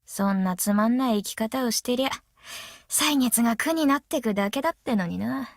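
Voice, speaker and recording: A woman voicing a brash, easygoing, long-lived female master metalsmith in an anime game, with rough, cocky, tomboyish speech. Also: on the high side, normal speed, dry recording, clean studio sound. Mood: musing, self-assured. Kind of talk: anime mobile game character voice lines.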